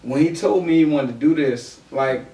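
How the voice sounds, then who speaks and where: A man's voice: on the high side, moderate pace, warm tone. A man talking casually on a podcast, slangy, often checking that the listener follows him. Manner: slangy, repeats "you know what I mean". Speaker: podcast co-host and guest, music artist. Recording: roomy, distant mic, background noise.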